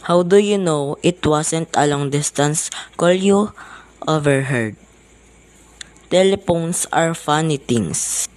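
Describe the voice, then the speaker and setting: A weary police sergeant acted by a student in an amateur radio drama, speaking slowly at a middle pitch. The delivery is placating, patronizing and dismissive. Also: lightly acted. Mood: skeptical, patronizing. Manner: deliberate, patronizing.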